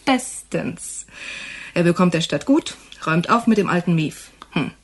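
An actress playing a sharp-tongued, teasing woman in a radio crime drama, with a mocking, blunt way of talking. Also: fairly low pitch, lively intonation, measured pace, slight background noise.

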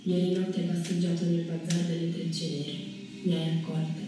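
An actress playing a solemn, tender woman from a dream, reciting poetically in a measured, incantatory way in a radio drama. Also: lowish voice, flat delivery, lightly acted. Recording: very echoey, distant, under loud music.

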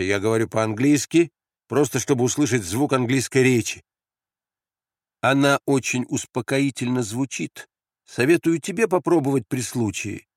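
A man's voice: mid-range voice, no echo, studio quality, close to an everyday voice. A man voicing a weary, restrained foreign soldier in an audiobook, with an ironic manner.

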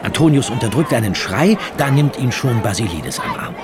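Male narrator, radio drama, fairly deep, lively intonation, loud crowd and music bed. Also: normal speed, very noisy.

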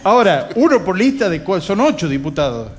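Argentine man, highish voice, faint noise behind. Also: big pitch swings, fairly quick. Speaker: radio host. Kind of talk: reading headlines and bantering about politics. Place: live radio broadcast from a bar.

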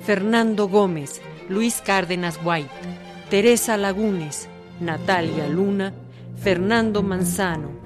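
Mid-pitched woman narrator. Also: slow, music in the background. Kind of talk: scripted documentary narration.

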